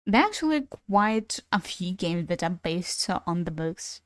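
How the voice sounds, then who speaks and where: A woman's voice: on the low side, big pitch swings, a little slow, no room sound, clean studio sound. Chatty young woman streamer, Ukrainian native. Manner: chatty, swears casually, laughs between thoughts. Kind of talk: casual gaming stream chat.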